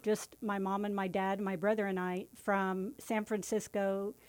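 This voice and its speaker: A woman in her fifties from Northern California, a family law attorney, chatting as a podcast guest in a friendly, halting way with frequent restarts. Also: mid-range voice, level tone. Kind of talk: casual interview chat.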